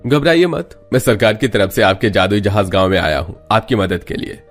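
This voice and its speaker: A man's voice: lowish voice, brisk, soft background music, close to an everyday voice. A man voicing a reassuring government politician in a cartoon story, with a formal, persuasive manner.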